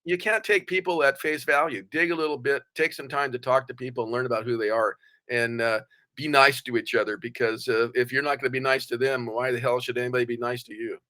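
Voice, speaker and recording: Older male guest, blunt and plain-spoken, with a bright, punchy tone. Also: medium pitch, fairly even intonation, fast talker. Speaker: podcast guest. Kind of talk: conversational interview.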